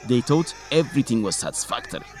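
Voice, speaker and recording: A man's voice: medium pitch, big pitch swings, quick pace, a little mellow, lightly acted. A man playing a driven, inquisitive reporter in a radio drama. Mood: serious. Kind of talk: English-teaching radio drama dialogue.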